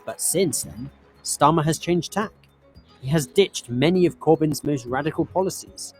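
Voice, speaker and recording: A man reading scripted explainer narration in a crisp, measured way. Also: a little high, over music. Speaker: documentary narrator.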